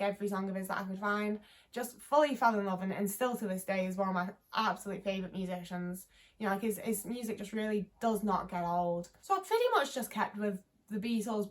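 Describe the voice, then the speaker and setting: Young woman, twenties, Liverpool accent; loose, candid vlog chatter with plenty of filler words. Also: mid-range voice. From England. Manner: rambling, frequent "like" fillers, sweary and candid. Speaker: vlogger. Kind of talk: casual chat to camera.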